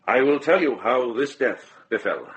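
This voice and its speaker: An actor playing a shrewd, compassionate middle-aged monk in a radio drama, speaking with grave, measured deliberation. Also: male voice, mid-range voice, expressive, a bit bright, natural voice, poor audio. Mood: grave, accusing.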